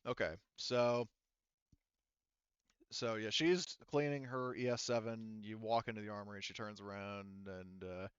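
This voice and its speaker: A man narrating a tabletop roleplaying game as game master, improvising in a halting, thinking-aloud way. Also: on the low side, fairly even intonation, clean tone, no room sound, muffled recording.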